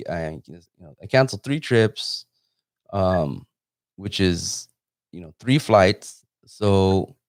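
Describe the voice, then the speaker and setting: A man's voice: low-pitched, darkish tone, no echo, studio quality. A man hosting a casual live interview, talking in an informal, rambling way. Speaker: interviewer and host; photographer.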